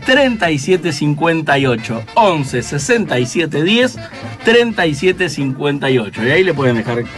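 Higher-pitched Argentine man chatting on radio. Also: very animated, moderate pace, fairly bright tone, soft background music. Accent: Rioplatense Argentine. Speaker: radio co-host. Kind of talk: casual chat. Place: radio studio.

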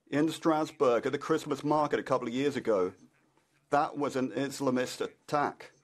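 A man interpreting a combative political speech from an interpreter's booth. His voice is mid-pitched, and he stumbles over fillers before rushing ahead. He keeps a plain, even tone. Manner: halting, with frequent "uh" fillers, then quickening bursts. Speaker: simultaneous interpreter.

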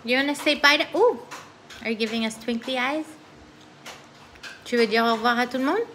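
A woman's voice: on the high side, fairly quick, a bit bright. A woman with a Filipino accent chatting casually at the dinner table with a toddler, coaxing and switching between languages. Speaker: parent hosting a family vlog. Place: home dining room, off camera. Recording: home recording with background noise.

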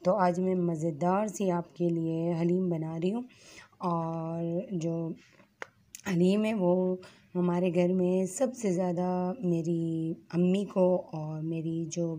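Hoarse woman, lowish mellow voice, chatty cooking host, phone recording in a home kitchen. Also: fairly even intonation, takes it slowly. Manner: chatty, talks straight to viewers. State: hoarse from a sore throat. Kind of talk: casual home cooking tutorial.

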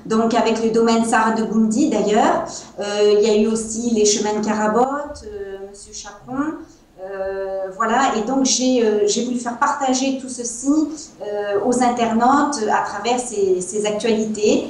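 Invitée au ton hésitant: une femme à l'accent provençal, dans un appel vidéo à l'écho très marqué. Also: female voice, fairly high pitch, steady tone, moderate pace, darkish tone. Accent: Provençal French. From France. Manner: hesitant, many fillers. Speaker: guest, wine seller. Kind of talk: interview over video call. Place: small room at home, indoors. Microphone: earbuds on a video call. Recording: echoey room sound over a video call.

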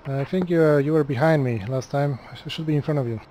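A man's voice: a little high, fast talker, mellow. Wingman guy in a flight sim, kinda hesitant radio talk, noisy headset. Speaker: wingman in a virtual squadron. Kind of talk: military radio comms in flight sim roleplay. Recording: noisy headset audio with clicks.

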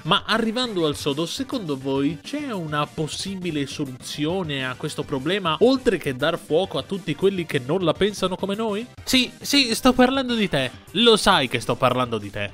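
A man's voice: on the high side, a bit bright. A man narrating a casual opinion commentary voice-over in an informal, conversational way with ironic asides. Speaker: video creator and narrator. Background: music under the voice.

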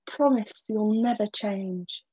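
A woman voicing a gentle young female caterpillar who reproaches her love for breaking promises. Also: mid-range voice, takes it slowly, slightly rough. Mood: reproachful. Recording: dull lo-fi recording.

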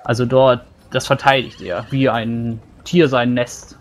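A man's voice: medium pitch, flat delivery, a little slow, lightly acted. A man playing a battle-hardened, matter-of-fact dragon slayer, giving blunt improvised instruction in a tabletop fantasy game. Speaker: player in a tabletop roleplaying game. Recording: noisy recording with background ambience.

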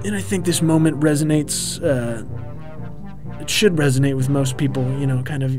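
A man in his thirties giving a rambling, relaxed behind-the-scenes commentary with quaintly formal turns of phrase, full of ums and uhs. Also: mid-range voice, normal speed. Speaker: puppeteer and co-host of a commentary track. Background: faint background music.

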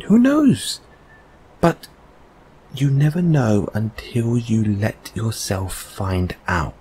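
A man playing a gentle, encouraging boyfriend in a comfort audio roleplay, speaking softly and haltingly with reassuring tag questions. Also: lowish voice, sing-song, slightly rough, heavily acted, rough recording. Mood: musing.

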